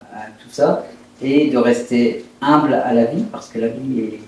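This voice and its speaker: A man in his fifties from Brittany, France, telling his life story in an interview in a hesitant, reflective, halting way. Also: mid-range voice, a little mellow. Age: 50s. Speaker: interviewed entrepreneur. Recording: very echoey room, distant mic, faint noise.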